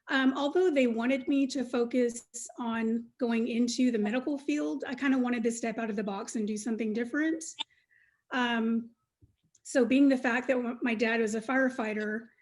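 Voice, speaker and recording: A middle-aged American woman from Texas talking conversationally as a panelist. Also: fairly high pitch. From United States, Texas.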